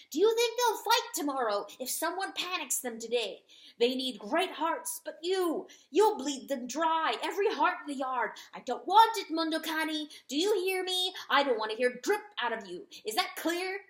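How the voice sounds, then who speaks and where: A woman's voice: high voice, big pitch swings, voice pushed up. A woman voicing a stern male rooster who commands and hisses his orders. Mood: fierce. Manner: brisk, commanding and hissing. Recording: roomy echo.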